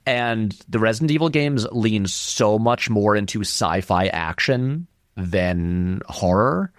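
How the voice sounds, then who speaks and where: A man's voice: lowish voice, lively intonation, slow, dry recording, studio quality. A man giving casual, conversational film commentary as an interview guest, often hedging and qualifying his points.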